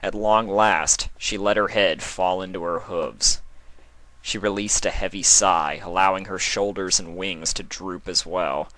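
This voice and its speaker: A man reading fan fiction aloud as narrator, in an expressive scripted storytelling style. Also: deep voice, measured pace, no room sound.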